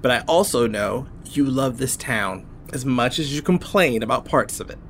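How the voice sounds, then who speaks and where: An easygoing small-town dad in an audio drama. His pitch is average and his manner is paternal, fond and lightly teasing, with a soft rumble in the background. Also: expressive, normal speed. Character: easygoing, affectionate, protective small-town father figure.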